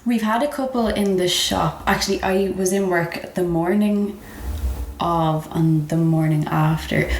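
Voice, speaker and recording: A woman's voice: lowish voice, unhurried. A young woman with an Irish accent telling stories casually, acting out what people said as she goes. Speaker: comedian guest telling retail stories. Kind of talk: casual conversational storytelling. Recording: roomy echo, faint background noise.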